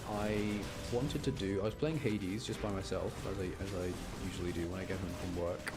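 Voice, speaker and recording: A man's voice: low voice, fairly even intonation, fairly quick. A man giving casual, rambling live commentary on a game, chatting to his stream and often interrupting himself. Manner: rambling, self-interrupting, mild grumbling. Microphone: close streaming microphone. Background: game music.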